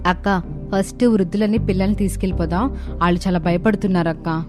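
A woman's voice: fairly low pitch, fairly even intonation, fast talker, lightly acted. A woman playing earnest, caring young village sisters in a moral story cartoon, with a dramatic delivery. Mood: concerned, determined. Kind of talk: animated moral story dialogue. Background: background music.

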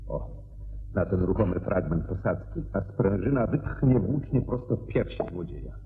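A man acting in a radio play as a brilliant, assured detective, explaining methodically and deliberately with theatrical pauses. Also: low voice, animated. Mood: explanatory, assured. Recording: dull radio recording, faint noise and hum.

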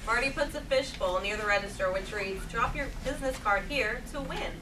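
Recorded from far off over clear noise, a woman narrates stage directions from a script. Her voice is slightly high, in a table-read style. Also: a little fast. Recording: distant camera mic, clearly noisy room.

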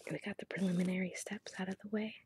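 A woman speaking in a breathy, gentle, unhurried whisper as a salon pedicurist in an ASMR roleplay, pampering a client. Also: medium pitch, flat delivery, slight background noise. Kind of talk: soft-spoken ASMR roleplay.

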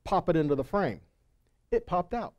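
A man's voice: sits high, lively intonation, normal speed, darkish tone, lo-fi sound. A man in his forties preaching in a folksy, conversational and emphatic way on a headset mic, moving between casual storytelling and scripture reading. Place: indoors on a stage at a congregation hall. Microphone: headset microphone.

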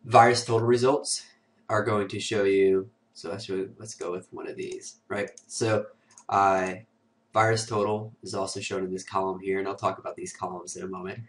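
A man with a cold talks through a software demo in a low, flat, raspy voice, hoarse and sniffly. His delivery is casual and loose, padded with "uh" and "um". He sits a short way from the mic. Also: moderate pace, far from the mic. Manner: casual, filler-heavy walkthrough with many "uh" and "um". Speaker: host of a security tutorial show. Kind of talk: screen-recorded software tutorial.

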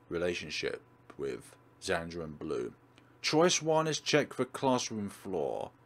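A man hosting a let's play, giving instructional, step-by-step game walkthrough commentary. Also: on the low side.

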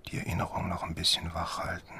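An actor playing a haunted, grieving middle-aged father in an audio drama, halting and often hushed. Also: sits low, monotone, slightly rough, in a whisper, exaggerated voice. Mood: bitter, sorrowful whisper. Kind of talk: audio drama acting, alternating intimate inner monologue and dialogue. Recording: noticeable background noise and ambience.

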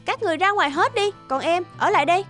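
High-pitched scheming young maid. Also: female voice, animated, fast talker, fairly bright tone. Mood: haughty. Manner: haughty, falsely sweet. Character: scheming, vain young maidservant posing as princess. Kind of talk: animated fairy-tale character acting. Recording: music under the voice.

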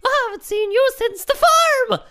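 A woman playing an excitable farm woman greeting an old friend, gushing and dramatic in an improvised comedy role-play. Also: very high-pitched, normal speed, very noisy.

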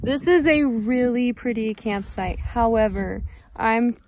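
A woman's voice: on the high side, slow, darkish tone, a little hiss or hum, low-quality recording. A young woman in her twenties, vlogging with a phone at arm's length outdoors, chatty and enthusiastic. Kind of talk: casual vlog narration. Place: outdoors in desert and in a shop.

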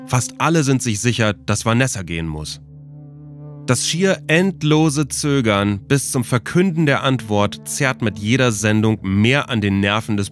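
A man reading a scripted documentary narration for a podcast. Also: on the low side.

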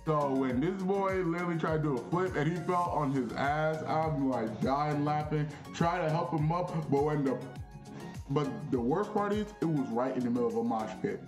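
A young man vlogging in a casual, animated, slangy storytelling way, repeating phrases for emphasis in a small bedroom. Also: on the high side, moderate pace, roomy sound, over music. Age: young adult.

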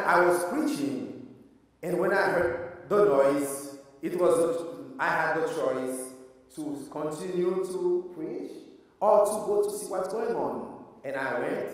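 A man with a Haitian accent preaching a sermon as pastor, slow and earnest, with emphatic rises. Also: fairly high pitch. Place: church sanctuary. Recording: echoey church hall from far off.

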